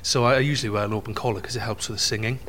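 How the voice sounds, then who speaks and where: A man's voice: fairly low pitch, fairly even intonation, rapid-fire, fairly bright tone, slight background noise. A middle-aged Welsh man, a singer and presenter, joking about himself in a backstage chat. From Wales. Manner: jokey, self-deprecating. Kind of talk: casual interview chat. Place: backstage room.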